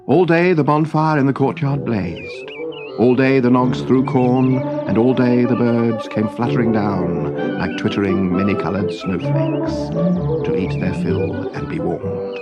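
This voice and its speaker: A man reading a scripted children's story in a measured, expressive storytelling manner. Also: on the low side, highs cut off, music in the background.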